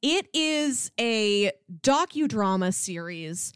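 A woman's voice: fairly high pitch, animated, slow pace, a bit bright, smooth, no echo, studio quality. A woman co-hosting a podcast, reviewing television in a casual, explanatory conversational way. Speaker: podcast co-host.

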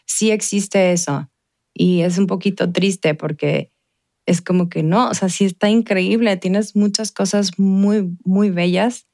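A woman's voice: medium pitch, fairly even intonation, unhurried, darkish tone, dry recording, clean studio sound. A woman from the Mexican border region hosting a podcast, asking questions and encouraging her guest with frequent murmurs of agreement. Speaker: podcast host. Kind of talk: casual interview.

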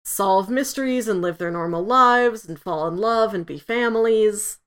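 A woman's voice: medium pitch, moderate pace, a bit bright, clear, resonant tone. A woman on a podcast panel giving recommendations in casual, informal talk full of filler "like".

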